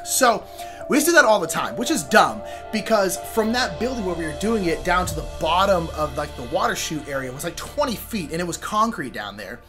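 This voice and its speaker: Animated young American man telling stories. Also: high-pitched, fairly quick, over music. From Sacramento, California. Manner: animated, informal, dramatizes with exclamations and asides. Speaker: storyteller, show host. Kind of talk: casual personal storytelling.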